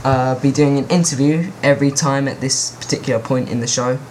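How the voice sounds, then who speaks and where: A man's voice: mid-range voice, steady tone, a little fast, a little mellow, slight background noise. A young man hosting a podcast in casual chat, rambling and joking at his own expense. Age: teens. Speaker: podcast host.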